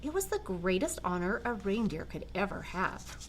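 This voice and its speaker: An older woman, a grandmother, reading a children's picture book aloud in a gentle, expressive way. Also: medium pitch, normal speed, slight background noise, low-quality recording. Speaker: storyteller grandmother.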